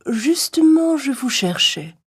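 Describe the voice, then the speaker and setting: A woman voicing a polite, self-assured tortoise in an animated children's fable, with a measured manner. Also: sits high, heavily acted. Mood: polite challenge. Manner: courteous, measured, quietly challenging. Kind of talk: animated fable acting in French.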